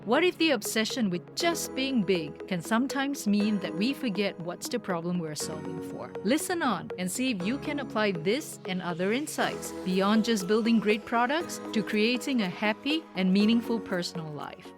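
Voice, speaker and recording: A woman's voice: medium pitch, expressive, moderate pace, few pauses. Lady reading the show intro, polished, music playing under her. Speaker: podcast intro announcer. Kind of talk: scripted narration. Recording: announcer voice over music. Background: music bed.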